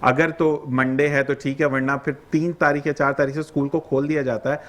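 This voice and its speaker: A Pakistani man hosts a morning TV show in a fairly high voice. He sounds chatty and courteous, and greets his guests formally before he brings up each topic. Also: little pitch movement, a little fast, fairly bright tone. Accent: Pakistani Urdu.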